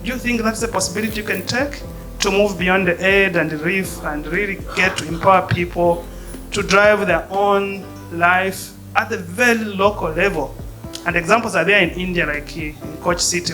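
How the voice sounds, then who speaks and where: Hombre de Zambia con voz aguda, preguntando. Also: male voice, high voice, steady tone, over music, low-quality recording. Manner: measured, polite, thoughtful questioning. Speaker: audience member asking a question. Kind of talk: workshop Q&A question.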